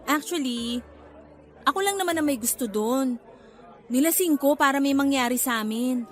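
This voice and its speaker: A woman's voice: sits high, normal speed, soft background music, close to an everyday voice. An actress playing a flippant, manipulative pregnant woman in a radio drama, with a breezy, cheeky delivery.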